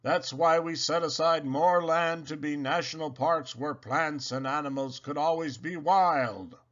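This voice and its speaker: A hearty, boisterous schoolgirl, as put on by a man, proclaims a president's lines in a storybook play. The voice is exuberant, pushed high and very bright. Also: measured pace, muffled recording. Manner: exuberant, loud. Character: boisterous, hearty schoolgirl playing Teddy Roosevelt.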